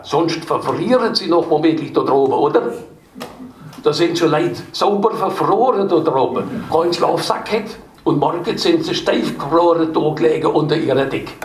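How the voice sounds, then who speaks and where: A man's voice: a little high, slight background noise, natural voice. A man voicing an insistent female outdoor shop saleswoman with an Allgäu Swabian accent, lecturing her customer. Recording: distant mic in an echoey room.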